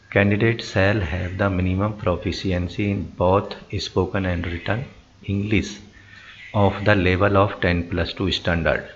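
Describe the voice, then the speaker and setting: An Indian man reads out job notice details as a presenter. His delivery is very slow and halting, word by word. The audio is muffled, with a faint noise behind him. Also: deep voice. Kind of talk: informational explainer, reading out notice details.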